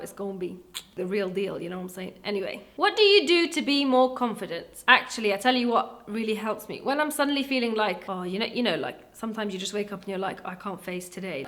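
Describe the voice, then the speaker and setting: A young woman from Wales, chatting casually through a question and answer vlog. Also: medium pitch. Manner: chatty, animated, acts out voices in her stories. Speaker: vlogger. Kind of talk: casual Q&A chat.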